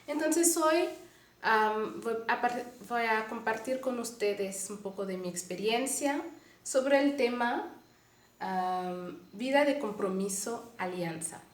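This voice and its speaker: A Canadian woman tells her faith story in Spanish with a Mexican-tinged accent and a little Portuguese slipping in. She speaks earnestly and pauses often to find her words. Her voice carries a roomy echo. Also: fairly high pitch. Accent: Mexican-tinged Spanish mixed with Portuguese. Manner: earnest, unhurried, frequent hesitations. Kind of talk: personal faith testimony.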